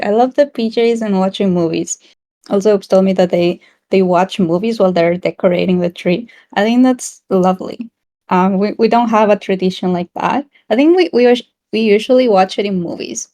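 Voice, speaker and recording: A woman's voice: mid-range voice, mellow. A woman from South America co-hosting a casual online community chat in a friendly, giggly, encouraging way. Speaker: town hall co-host.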